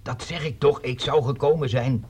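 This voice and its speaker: An actor playing a flustered man stranded at a station, insisting on his word, raspy. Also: medium pitch, fairly even intonation, normal speed, a little mellow, natural voice. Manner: insistent, apologetic. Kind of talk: 1960s radio play acting.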